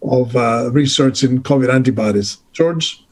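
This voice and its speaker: A man moderating a panel on a video call, speaking in a polite, measured way with frequent fillers. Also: mid-range voice, a little mellow. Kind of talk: formal introductory remarks.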